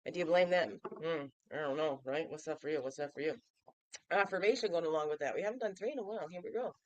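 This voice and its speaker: A woman's voice: low-pitched, fast talker, darkish tone, no room sound. A woman in her fifties, nasal and stuffy from a cold, hosting a daily reflection vlog in a playful, chatty way and asking the listener questions. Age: 50s. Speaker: host of a daily reflection show. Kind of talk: casual reflective vlog talk.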